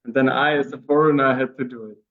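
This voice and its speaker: A man's voice: mid-range voice, flat delivery, fast talker. Non-native man, muffled lo-fi sound. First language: not English. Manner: chatty, laughs often, searches for words. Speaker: guest co-host. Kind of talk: conversational interview.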